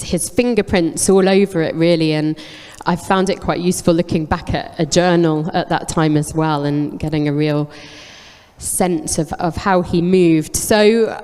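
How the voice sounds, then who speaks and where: A woman's voice: lowish voice, a little mellow, smooth. A woman in her forties from England, giving a candid, reflective faith testimony on stage with frequent ums. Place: church hall. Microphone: handheld mic, cutting in and out. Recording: echoey church hall with long reverb.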